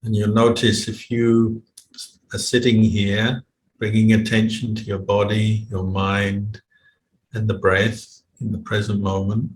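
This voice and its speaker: Slow, even-toned man guiding meditation, roomy echo. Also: on the low side. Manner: measured, unhurried, with long pauses. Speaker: meditation teacher, Buddhist monk. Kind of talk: guided meditation instruction. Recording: roomy echo, faint background noise.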